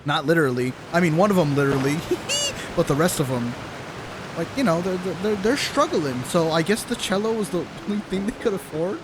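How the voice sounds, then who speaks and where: A woman's voice: on the low side, fairly quick, background noise. A woman giving casual live commentary as a game streamer, informal and sweary, reacting as she goes.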